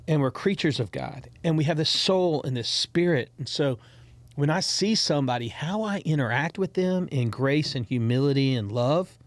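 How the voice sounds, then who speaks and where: A man's voice: a little high, lively intonation, moderate pace, no room sound. A man hosting a podcast in casual conversation. He rambles with frequent restarts and repeated words, and speaks encouragingly to his listeners. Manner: encouraging, talks straight to listeners. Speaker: podcast host.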